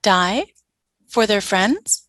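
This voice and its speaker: An obedient female alien drone soldier, played by a woman for a radio drama. She talks in a flat, submissive way, taking everything literally. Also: medium pitch, very slow. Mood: blank, puzzled. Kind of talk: audio drama radio play acting.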